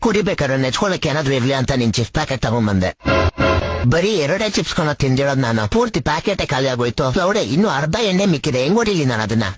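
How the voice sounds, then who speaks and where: A man's voice: a little high, very animated, very fast, faint music behind. A man voicing an indignant, foul-mouthed cartoon drinker making an abusive, slangy complaint by phone. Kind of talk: comedy cartoon voice acting.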